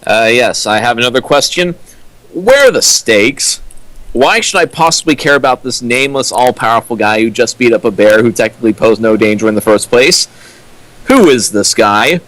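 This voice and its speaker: A man's voice: mid-range voice, a bit bright, no room sound, rough recording. A young man reading character lines from a fanfic and mocking it with dry, pointed questions. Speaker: co-riffer. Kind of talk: comedic dramatic reading and riffing.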